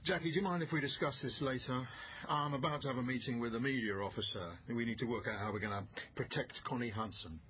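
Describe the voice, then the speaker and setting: A man's voice: medium pitch, fast, close to an everyday voice. A man playing a busy, evasive senior British detective in a naturalistic radio drama. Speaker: actor in radio crime drama. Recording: slightly muffled broadcast, faint noise.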